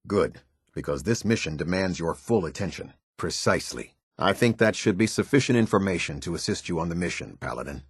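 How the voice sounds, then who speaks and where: An actor playing a stern, authoritative military captain in a video game, middle-aged, speaking formally with an American accent in clipped, measured orders. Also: male voice, low-pitched, husky, dry recording, clean studio sound, full character voice. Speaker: military captain giving briefings. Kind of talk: scripted video game dialogue.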